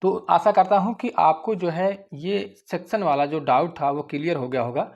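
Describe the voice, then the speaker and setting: Young male host, slightly high voice, informal explainer delivery, addresses viewers directly. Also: moderate pace, a little mellow, dull audio. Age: young adult. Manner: repetitive, often addressing listeners as friends. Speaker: YouTube host giving exam updates.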